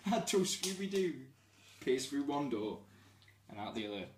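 A man's voice: medium pitch, sing-song, normal speed, roomy sound. Brief: young Midlands guy, wisecracking co-host, faint kitchen noise behind. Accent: English Midlands. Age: young adult. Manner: wisecracking, mock-announcer asides. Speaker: cooking vlog co-host. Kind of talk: casual jokey cooking chat. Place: small home kitchen. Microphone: camera mic on the counter. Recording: noisy home video.

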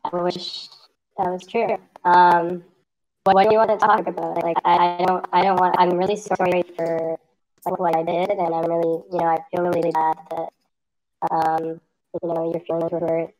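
Man behind a high voice changer. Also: little pitch movement, a little mellow, rough recording. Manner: hesitant, apologetic, halting with many fillers. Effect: high-pitched voice changer. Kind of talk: casual voice call. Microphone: voice-chat call.

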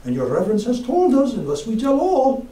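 A man voicing a sly, dying old Irish peasant man who pleads high and loud, heard from a distant mic in a roomy space. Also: wildly swooping pitch, a little fast, full character voice. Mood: pleading. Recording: roomy room, distant mic, faint ambience.

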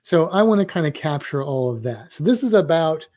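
A man's voice: a little high, lively intonation, fairly quick, a little mellow, no room sound. A man teaching drawing on a live stream, rambling loosely and thinking aloud while he sketches.

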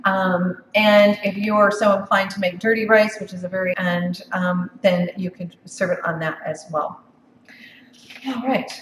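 A woman's voice: mid-range voice, steady tone, a little slow, fairly bright tone, a little hiss or hum. A woman giving a casual live cooking demonstration, chatty and full of ums and restarts. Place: home office kitchen. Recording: echoey room, from far off.

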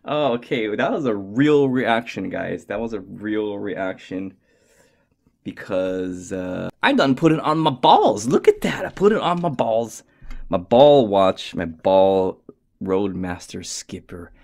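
Gravelly guy hyping up watch straps, bouncy voice, whispers now and then, jokey. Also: lowish voice, slow pace. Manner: goofy, excitable, talks to himself, drops into whispers. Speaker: watch reviewer. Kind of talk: casual unboxing review. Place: indoors at a desk.

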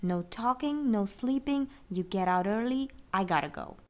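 A woman's voice: mid-range voice, expressive, normal speed, heavy background noise, close to an everyday voice. A woman playing a tired, firm but fair school principal in an amateur comic dub. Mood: weary, stern. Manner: sighing, firm.

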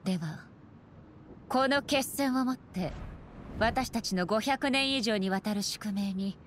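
A woman's voice: medium pitch, takes it slowly, a little hiss or hum, close to an everyday voice. An actress playing a stern, unfeeling female puppet warlord in a Japanese game, speaking formally, coldly and in declamatory phrases. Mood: solemn, resolute. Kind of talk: Japanese anime game acting. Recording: clear game music behind the voice.

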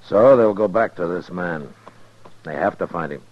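A man playing a steady, self-assured frontier marshal, dry and matter-of-fact, in 1950s radio western style. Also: sits low, normal speed, no echo. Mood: explaining, steady. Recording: muffled, noisy old radio recording.

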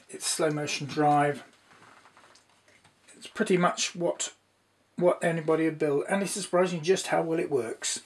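Male hobbyist presenter, fairly high-pitched, informal explanatory delivery with a meandering, hesitant manner. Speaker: presenter, hobbyist radio builder. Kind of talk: informal demonstration narration.

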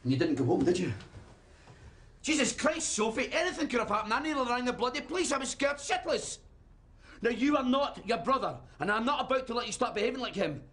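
A man's voice: medium pitch, animated, moderate pace, a little mellow, close to an everyday voice. An actor playing a stern, grieving middle-aged British father in a naturalistic drama, scolding and pleading. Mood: angry, worried. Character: stern, grieving, exasperated widowed father of teenagers. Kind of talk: naturalistic screen drama acting.

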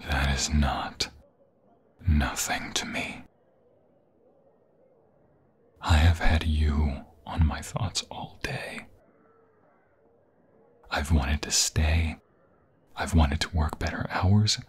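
A man playing an anxious, tender young vampire fiancé in an intimate ASMR roleplay, halting and emotional, often dropping into a breathy whisper. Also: a bass voice, heavily acted. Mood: tender, earnest.

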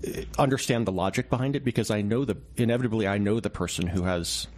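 A man with a fairly low voice talks as a reporter being interviewed on the radio. He is casual and reflective, choosing his words in a measured, halting manner. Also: a little mellow, slight background noise. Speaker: newspaper reporter, interview guest.

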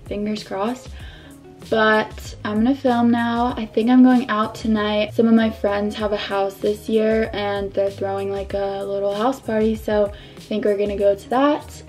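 A woman's voice: medium pitch, fairly even intonation, measured pace, darkish tone, soft background music. A woman in her twenties vlogging casually from her bedroom, chatty and full of "like" fillers, her voice a bit worn. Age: 20s. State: slightly losing her voice. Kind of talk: casual vlog chat to camera. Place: bedroom, indoors.

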